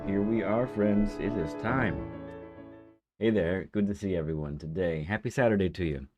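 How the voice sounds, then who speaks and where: Guy hosting a live stream, kinda hoarse, phlegmy cough voice, low and chatty, reading out chat names. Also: moderate pace, faint music behind. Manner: chatty, reads out viewer names warmly. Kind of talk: casual live-stream chat greeting viewers.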